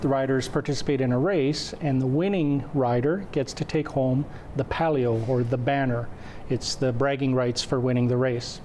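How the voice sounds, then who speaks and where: A man's voice: medium pitch, steady tone, moderate pace, warm tone, slight background noise. A man in his forties from western Canada whose first language is Croatian, speaking as an event organizer in an informal TV interview. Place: indoor TV studio. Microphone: lapel mic.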